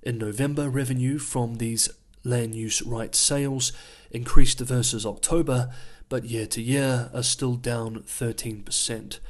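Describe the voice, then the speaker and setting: A male host narrates a scripted economic news rundown in a calm, measured voice at an ordinary mid pitch. He explains each point steadily, as if walking viewers through the figures, and his voice is wearing thin and turning hoarse. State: losing his voice. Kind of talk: scripted news analysis voice-over.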